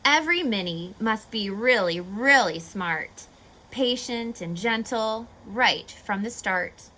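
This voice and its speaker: Expressive, high-voiced woman reading to children. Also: slow pace, low-quality recording. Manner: expressive, instructive, asking questions of young listeners. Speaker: teacher reading a picture book to children. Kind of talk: children's read-aloud with teaching asides.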